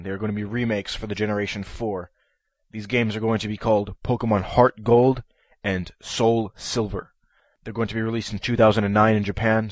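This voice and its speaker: A man's voice: lowish voice, dry recording. A man hosting a podcast episode on his own, sounding nasal from a stuffy head cold, eager and prone to ums and restarts. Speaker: podcast host. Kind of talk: solo podcast talk.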